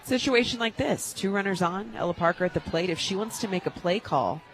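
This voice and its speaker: A woman giving conversational live color analysis of a softball game from a radio booth, with emphatic, repeated phrasing. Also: medium pitch, animated, a little fast, low-quality recording. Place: radio booth at an outdoor ballpark.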